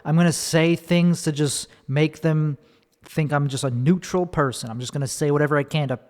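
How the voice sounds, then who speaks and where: A man's voice: on the high side, steady tone, normal speed, a little mellow. A man with a Chicago accent, a comedian ranting profanely and rambling through a solo podcast monologue, often restarting mid-sentence. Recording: long reverb, echoey room.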